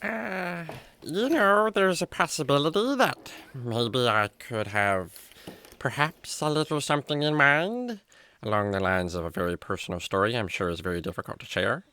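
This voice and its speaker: A man playing a naive, chatty, polite animal friend in a children's radio drama, with a halting, wordy way of talking. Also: mid-range voice, animated, bright, forceful tone. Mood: hesitant, polite. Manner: hesitant, wordy, comically halting.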